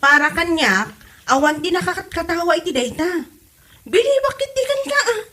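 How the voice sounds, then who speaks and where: Casting: actress as nosy Ilocano wife for comedy drama, high voice, very animated intonation, gossipy. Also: female voice, normal speed, exaggerated voice. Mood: gossipy, prying. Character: nosy, chatty wife gossiping about her in-laws.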